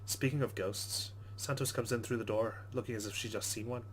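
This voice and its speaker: A man's voice: mid-range voice, fairly even intonation, fairly quick, a little hiss or hum. A man hosting a let's play, reading game narration aloud with casual commentary.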